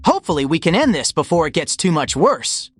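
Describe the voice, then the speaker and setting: Woman voicing a lively, wry young hedgehog hero. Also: sits low, a little fast, breathy edge, dry recording, heavily acted. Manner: casual, wry. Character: weary but plucky young male hedgehog hero.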